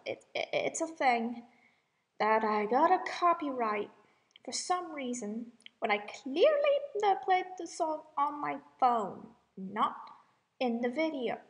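A young woman with a high voice hosts a casual home-recorded vlog. She rambles in a chatty, self-deprecating way, addressing her viewers informally. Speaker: amateur cover singer and channel host.

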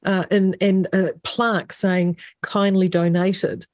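A woman's voice: medium pitch, little pitch movement, slow, a little mellow, no echo, studio quality. A woman on a political radio panel, talking conversationally with anecdotes.

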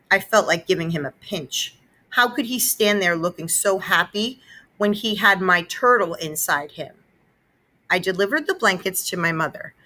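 Bright-voiced woman reading a story. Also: fairly low pitch. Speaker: read-aloud narrator. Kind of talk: expressive children's story narration.

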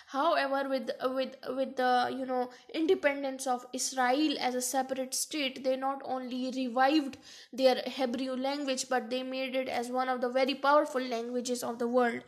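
A woman's voice: high voice, little pitch movement. A woman giving a university lecture over slides, explaining step by step and often restarting or correcting herself. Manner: explains slowly, with frequent restarts and self-corrections.

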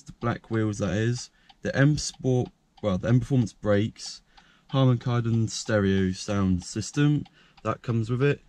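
A young man with a croaky voice, talking casually and rambling with lots of fillers while he films himself showing off his car. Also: medium pitch, darkish tone. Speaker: car vlogger. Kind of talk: casual point-of-view car walkaround. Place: parked car by a country lane, outdoors and inside the car. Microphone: action camera held by hand.

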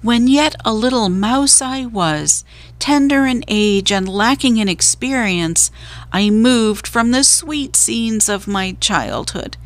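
A woman playing a whiny, high-pitched, theatrical little mouse. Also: big pitch swings, unhurried, creaky. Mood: tearful, grandiose. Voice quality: high, pitiful, choked with emotion. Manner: melodramatic, flowery, old-fashioned phrasing. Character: whiny, theatrical, miserly male mouse, self-pitying.

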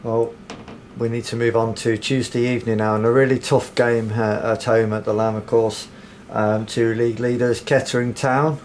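A man interviewing a football manager for the club's media, asking measured questions with some hesitation. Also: medium pitch, flat delivery, a little mellow, a little hiss or hum. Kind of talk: post-match interview questions.

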